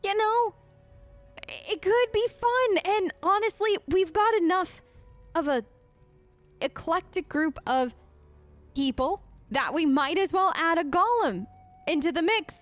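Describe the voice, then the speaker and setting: High-voiced, chatty woman role-playing. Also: animated, unhurried, no room sound, faint music behind. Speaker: let's play host role-playing her game character. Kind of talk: improvised in-character game dialogue, casual chat.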